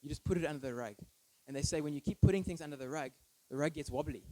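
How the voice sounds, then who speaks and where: A man's voice: a little high, fast, dark tone, dry recording, low-quality recording. A thirty-year-old man giving an informal, conversational sermon with personal stories, often checking in with listeners through short tag questions. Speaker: preacher.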